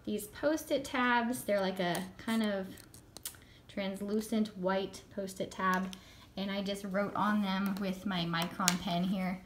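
Hoarse, chatty woman reviewing books. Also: medium pitch, fairly even intonation, slow, slight background noise. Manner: chatty and enthusiastic, with fillers. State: hoarse from a cold. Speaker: homeschool curriculum reviewer. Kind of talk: casual show-and-tell review. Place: home room with a world map.

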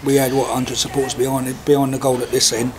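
A man's voice: mid-range voice, flat delivery, fast talker, background noise. An older man hosting a club TV post-match chat outdoors, asking leading questions. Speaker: club TV interviewer. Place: outdoors by a football ground wall.

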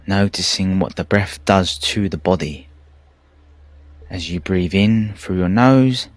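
A man narrating a guided meditation in a calm, measured, soothing way with pauses. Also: sits low, dry recording. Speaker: meditation teacher. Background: background music.

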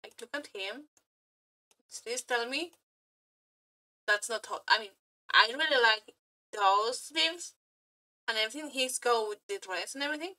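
A woman streamer chatting casually to viewers in a gushing, flirty fangirl manner, halting and repeating her words as she goes. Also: a little high, bright, forceful tone, poor audio. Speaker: aspiring VTuber and streamer. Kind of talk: casual reaction chat to viewers.

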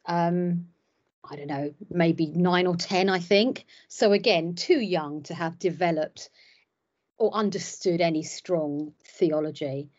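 Low-voiced chatty woman on a video call. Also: darkish tone. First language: Cypriot Greek. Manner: chatty and self-deprecating, with many fillers and restarts. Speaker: ordinand and hairdresser. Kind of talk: casual interview on a video call. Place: home room by a window. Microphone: laptop webcam on a video call. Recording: dull lo-fi video call audio.

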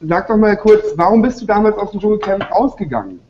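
A man's voice: high voice, expressive, fast, mellow, dull audio, slight background noise. A man hosting a cooking show in a studio kitchen, joking and interviewing guests while cooking.

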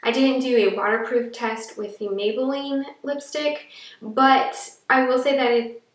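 A young woman with a froggy, allergy-roughened voice, chatting through a casual makeup review to camera, a little rambling with fillers. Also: high-pitched, fairly even intonation, a little slow. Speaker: beauty vlogger. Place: small room indoors. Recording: echoey room, a little far from the mic.

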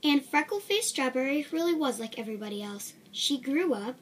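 A woman reading a children's storybook aloud in an expressive way, acting out the emphatic words. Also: high voice, brisk. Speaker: storybook reader.

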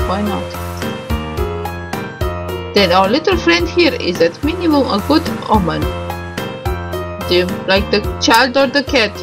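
A woman doing casual game commentary, reading a visual novel's dialogue aloud and stumbling over some words with self-corrections and asides. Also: a little high. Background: music.

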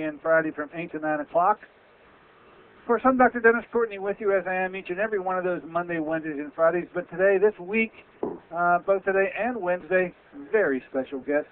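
A loud, rambling Western Pennsylvania man in his sixties hosts a radio health show from a small office. His voice sits a touch high and comes through a headset mic. The sound is tinny and phone-like. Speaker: radio show host, physician. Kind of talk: casual radio talk. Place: small office with framed certificates.

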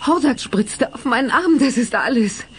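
Casting: reproachful young heroine, cassette radio play; high, swooping, husky-edged, urgent. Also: female voice, expressive, moderate pace, heavily acted. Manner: urgent, emphatic, dramatic. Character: agitated, reproachful young heroine of a fantasy adventure. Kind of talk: 1980s German audio drama acting.

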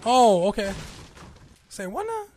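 A man's voice: very high-pitched, wildly swooping pitch, a little slow, a little mellow, a little hiss or hum. A man from America streaming a game, chatting casually with a stranger and joking in disbelief. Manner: joking, incredulous.

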